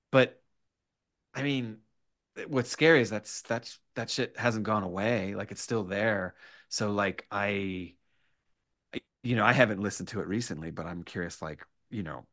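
A man speaking as a guest writer in a casual podcast interview, animated and rambling, halting often and swearing freely. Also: deep voice.

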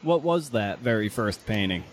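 A man's voice: fairly low pitch, expressive, normal speed, a little hiss or hum. A man hosting a podcast, asking casual interview questions in a rambling, searching way.

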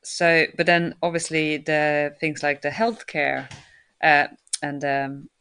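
A woman's voice: low-pitched, steady tone, slow pace, fairly bright tone, clear, resonant tone, no room sound, clean studio sound. A woman from Sweden, speaking as an interview guest, hesitant with many fillers and restarts. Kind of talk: casual interview talk.

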